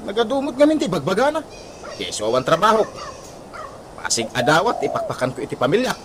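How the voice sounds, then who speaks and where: A proud, stubborn husband acted by a man, arguing in a high, swooping voice. He complains and defends himself in radio comedy style. The recording has clear background noise. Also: wildly swooping pitch, quick pace. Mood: defensive. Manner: argumentative, complaining. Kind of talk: comedy radio drama acting in Ilocano.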